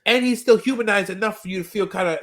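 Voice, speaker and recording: A man with a fairly high-pitched voice talks casually as a podcast co-host. He explains things in a conversational, storytelling way, as in a relaxed rewatch discussion. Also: quick pace. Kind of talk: casual animated-series rewatch chat with a co-host.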